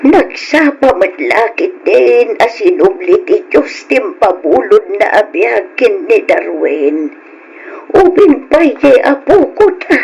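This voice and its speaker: A woman's voice: a little high, very animated, slow pace, vocal fry, darkish tone, dull audio, a little hiss or hum, full character voice. An actress playing a grieving elderly mother in an old Ilocano radio drama, speaking in a plaintive, pleading, emotional way. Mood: sorrowful.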